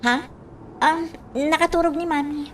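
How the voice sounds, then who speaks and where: A woman's voice: high-pitched, steady tone, normal speed, distant mic, slight background noise, voice pushed up, close to an everyday voice. A woman doing a tired young woman. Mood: weary. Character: young woman, worn daughter at hospital.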